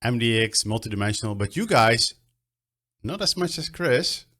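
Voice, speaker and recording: A man's voice: mid-range voice, lively intonation, normal speed, fairly bright tone. A man hosting an interview show over a video call, talking in an enthusiastic, upbeat way. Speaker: podcast host.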